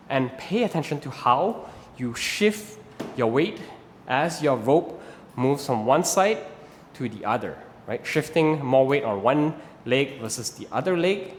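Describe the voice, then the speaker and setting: A man's voice: mid-range voice, big-room sound, slight background noise. A man in his thirties from Malaysia with a Malaysian English accent, patiently explaining rope flow as an instructor and often checking in with "right?". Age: 30s. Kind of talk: instructional video talk.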